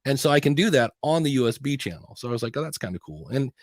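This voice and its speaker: A man's voice: medium pitch, big pitch swings, fast, no echo, studio quality. A man hosting a podcast, chatting casually about audio gear and often restarting sentences or quoting himself. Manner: rambling, restarts and self-quotes. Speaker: podcast host. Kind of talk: casual tech chat. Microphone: studio dynamic mic.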